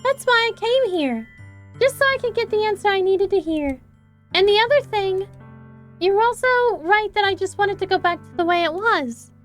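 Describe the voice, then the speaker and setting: A woman voicing a heartbroken teenage schoolgirl who pleads in a soft, tearful way. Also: very high voice, expressive, normal speed, soft background music, pitched above the performer's own voice. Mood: tearful, pleading.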